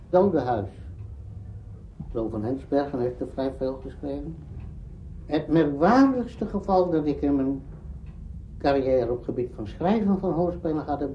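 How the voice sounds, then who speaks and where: An older man, a radio drama veteran, reminiscing in an interview in emphatic, halting phrases. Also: mid-range voice. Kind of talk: spoken reminiscence. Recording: dull archive recording, faint noise.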